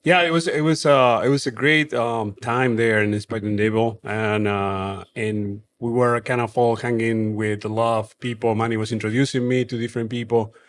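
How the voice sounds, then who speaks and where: Man, Argentine accent, brewmaster, casual interview, pauses and restarts often, mid-pitched. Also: steady tone, slight background noise. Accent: Argentine Spanish. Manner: halting, many fillers and restarts. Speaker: brewery co-founder and brewmaster.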